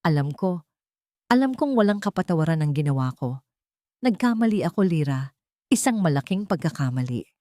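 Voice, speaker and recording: A man's voice: on the high side, big pitch swings, normal speed, pauses often, dark tone, dry recording, clean studio sound. A woman voicing a remorseful, exhausted young billionaire man who pleads in a broken voice.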